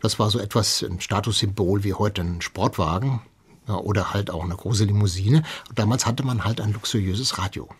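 A man's voice: on the low side, moderate pace, slightly rough. A man speaking as an expert guest in an interview, explaining history in an anecdotal way.

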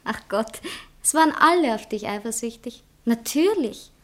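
A woman's voice: fairly high pitch, lively intonation, normal speed, lightly acted. A woman playing a gushing, touchy bohemian poetess in a satirical comedy, with an Austrian accent and an affected, earnest manner. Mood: defensive. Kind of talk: satirical stage comedy acting.

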